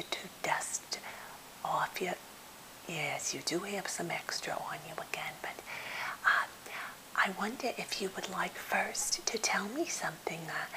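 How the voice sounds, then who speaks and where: A woman acts out an anxious lady therapist who is a bit off but eager to help. She whispers breathily and takes her time, halting often and staying fussily polite. It is an ASMR role play, with a light hiss on the recording. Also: medium pitch, natural voice. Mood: hesitant, polite. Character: anxious, well-meaning, slightly off woman therapist held captive. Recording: hissy, noisy home recording.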